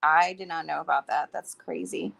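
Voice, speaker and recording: A woman co-hosting a casual livestream chat, her voice a little raspy, talking easily with fillers. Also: low-pitched, steady tone, moderate pace, a bit bright. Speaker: co-host.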